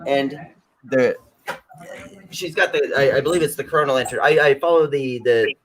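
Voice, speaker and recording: A man's voice: mid-range voice, normal speed, rough recording. A man hosting a casual talk show, rambling with restarts and hyping up his guests. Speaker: show host. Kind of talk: casual chat.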